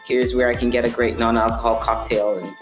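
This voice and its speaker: A woman from Barbados talks earnestly in a very deep, low voice, often restarting a phrase and checking in with "right?". She is recorded in an echoey room, with music playing clearly behind her. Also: level tone, a little fast. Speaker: non-alcoholic bar owner. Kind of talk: conversational interview answers.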